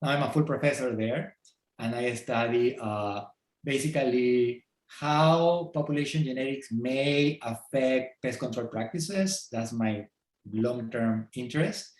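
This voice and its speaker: A man's voice: mid-range voice, a little slow, a little mellow. Man, middle-aged, Peruvian Spanish accent, thoughtful, hesitant fillers, echoey room. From Peru. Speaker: guest. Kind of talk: casual interview. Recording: roomy echo.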